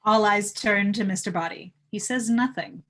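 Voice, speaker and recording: A woman's voice: medium pitch, moderate pace, fairly bright tone, a little hiss or hum. A woman narrating stage directions at a live table read of a film script.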